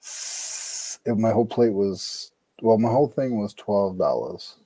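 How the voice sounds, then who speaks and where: Man, from New England, warm mellow voice, dry sound, joking casual chat. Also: fairly low pitch, lively intonation, measured pace, slightly rough, no room sound, low-quality recording. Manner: chatty, jokey, trails off mid-sentence. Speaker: podcast co-host. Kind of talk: casual chat while listing items.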